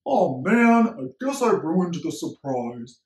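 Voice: mid-range voice, sing-song, slow pace, a little mellow, echoey room, a little hiss or hum, poor audio. A performer voicing a genial, playful dad of a young boy, chatting cheerfully in a children's story. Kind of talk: acted children's story dialogue.

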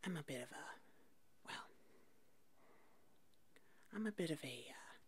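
A woman voicing an eccentric, bumbling puppet monster hairdresser in a halting, breathy whisper for an ASMR roleplay. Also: sits low, expressive, slight background noise. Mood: calm, quirky. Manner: halting, hesitant, slow.

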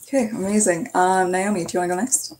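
A woman's voice: fairly low pitch, a little mellow, slight background noise. A young woman from Canada hosting a casual group discussion over a video call, passing the word from guest to guest. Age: young adult. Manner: friendly, quick hand-offs between guests. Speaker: host.

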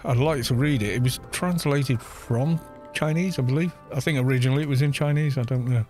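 A man's voice: mid-range voice, a little fast, a little mellow, soft background music. A man streaming a game, chatting casually in a rambling, self-deprecating way on a close desk mic.

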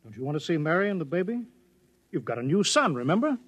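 An actor playing a harried American military officer in a 1950s radio drama, tense and placating. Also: male voice, on the high side, big pitch swings, fast talker, rough recording. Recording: old 1950s radio broadcast.

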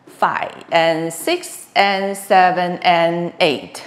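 A woman's voice: on the low side, steady tone, extremely slow. A middle-aged woman instructing a line dance step by step, calling out moves and counts in short, clipped phrases. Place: small bare room with wooden floor.

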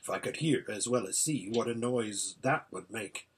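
A man's voice: fairly low pitch, normal speed, husky. A man voicing an impatient old hermit seer in a storybook, giving an anxious, exclamatory running report. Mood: tense, watchful. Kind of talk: storybook character voice.

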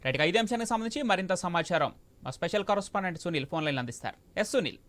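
A man's voice: high voice, lively intonation, rapid-fire, fairly bright tone. A man working as a news anchor, reading reports and putting questions to a correspondent.

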